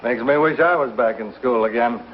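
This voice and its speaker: An actor playing an easygoing, wisecracking small-town husband in a 1950s television Western, folksy and teasing. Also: male voice, medium pitch, a little fast, lightly acted. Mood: teasing, dry. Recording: dull, faintly noisy 1950s TV soundtrack.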